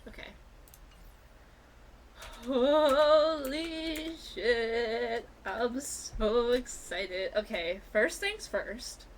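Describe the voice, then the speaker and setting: A woman streaming a video game with casual, excitable, gushing and sweary live commentary. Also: on the high side, drawn-out words, slight background noise. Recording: music behind the voice. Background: video game music.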